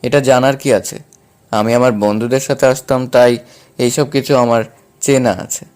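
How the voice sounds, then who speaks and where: A man's voice: mid-range voice, fairly even intonation, normal speed, a little mellow, clear, resonant tone, close to an everyday voice. A man playing a confident, defiant young romantic hero in an audio drama. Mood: calm, casual. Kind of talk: dramatised audio story dialogue.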